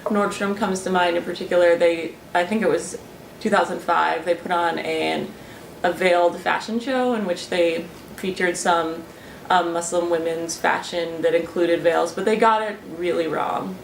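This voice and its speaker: A young woman with a low, gravelly voice talks through her research, pausing on fillers and restarting her sentences. She sounds roomy and a bit distant from the mic. A faint ambience sits behind her. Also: fairly even intonation, a little hiss or hum. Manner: thoughtful, with frequent fillers and restarts. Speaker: student researcher. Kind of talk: interview talk.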